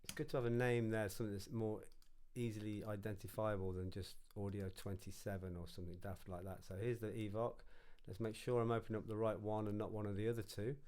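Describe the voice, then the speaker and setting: A man's voice: lowish voice, a little mellow, clean tone. A man narrating an informal music production tutorial, casually thinking aloud as he works.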